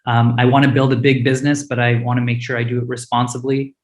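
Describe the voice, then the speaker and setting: Earnest founder, flat delivery, echoey room. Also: male voice, mid-range voice, fast talker. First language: Hindi. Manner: earnest, open, many fillers and restarts. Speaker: startup founder panelist. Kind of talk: panel discussion answers.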